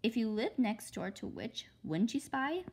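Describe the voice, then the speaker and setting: A woman's voice: fairly high pitch, very animated, moderate pace, a little mellow, a little hiss or hum. A woman reading a children's storybook aloud with dramatic expression. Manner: dramatic, reads sound effects aloud. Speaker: read-aloud host.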